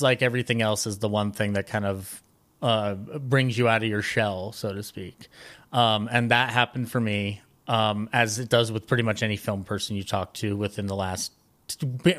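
A man's voice: fairly low pitch, a bit bright. An American man from Colorado chatting casually as a podcast guest, talking in a rambling, enthusiastic film-buff way. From Colorado, United States. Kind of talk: casual interview chat about films and his life.

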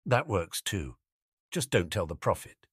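A man's voice: low voice, big pitch swings, measured pace, fairly bright tone, breathy edge, no echo, clean studio sound, exaggerated voice. A man voicing a bold, flippant young wizard schoolboy who talks earnestly and cheekily in a storybook reading. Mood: cheeky.

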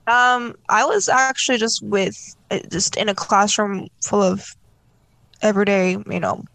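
A woman's voice: medium pitch, unhurried, creaky, fairly bright tone, no room sound, rough recording. Casual woman, close dry sound. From South Korea. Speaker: conversation participant telling personal stories. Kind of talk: casual chat.

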